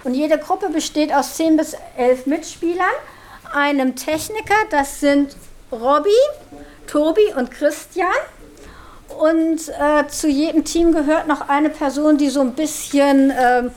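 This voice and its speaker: A woman's voice: high voice, a little slow, a little mellow. A woman explaining a procedure to a group as workshop organiser, recorded with some noise. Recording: clear background noise and clicks.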